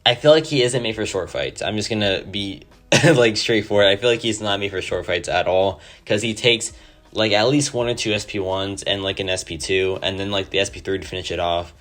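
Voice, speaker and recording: A man with a hoarse, sore-throated voice giving casual, enthusiastic gameplay commentary over a home mic. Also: fairly low pitch, steady tone, a little fast, fairly bright tone. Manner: enthusiastic, rambling, many "like" fillers. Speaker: mobile game player.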